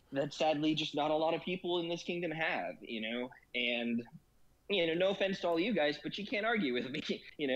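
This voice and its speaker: Man, casual chat, rambling, lots of fillers, laughs often, muffled lo-fi sound. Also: fairly high pitch. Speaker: guest in gaming discussion.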